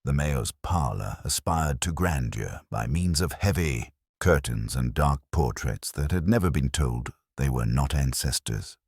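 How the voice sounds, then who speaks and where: A man reading scripted mystery fiction as narrator, in a measured, wry, literary manner. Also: a bass voice, expressive, breathy edge, no echo, clean studio sound.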